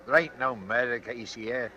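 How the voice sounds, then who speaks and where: A man's voice: mid-range voice, normal speed, pushed and bright, muffled recording, natural voice. An actor playing a stolid, dismissive working-class British policeman in an old radio drama, speaking colloquially and unimpressed.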